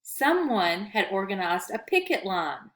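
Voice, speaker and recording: A woman narrating a children's story aloud in an expressive, read-aloud storyteller's style. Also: medium pitch, measured pace.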